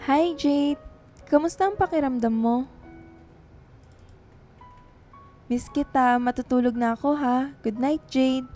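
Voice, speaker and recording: An actress playing a tender, anxious young woman writer in a radio drama, soft and earnest in manner. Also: high-pitched, moderate pace, faint music behind, natural voice. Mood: tender concern.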